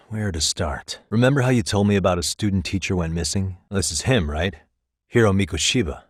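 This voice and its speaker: An actor playing a calm, wry private detective in his thirties in a video game, speaking in a measured, conversational way. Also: low-pitched, no room sound, studio quality. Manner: measured, conversational, lightly sardonic. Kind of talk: naturalistic English video game voice acting.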